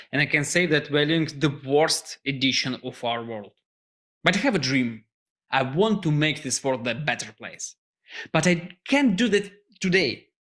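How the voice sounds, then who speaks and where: A man with a Russian accent, Russian his first language, giving an earnest startup pitch as founder. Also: fairly bright tone. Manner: earnest, non-native phrasing.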